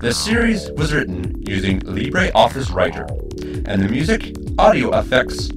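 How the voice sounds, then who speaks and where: A man's voice: mid-range voice, wildly swooping pitch, normal speed, over music. A man reading scripted credits as an announcer. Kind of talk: scripted narration.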